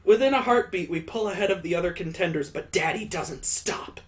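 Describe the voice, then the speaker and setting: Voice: medium pitch, fairly quick. Sweary game streamer reading and reacting aloud. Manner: sweary, reacts loudly and breaks into laughter. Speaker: let's play host. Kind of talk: reading a visual novel aloud with joking commentary.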